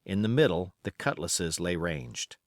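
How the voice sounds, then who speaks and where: A man reading literary narration as an audiobook, expressive and measured in his storytelling. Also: sits low, no echo, studio quality.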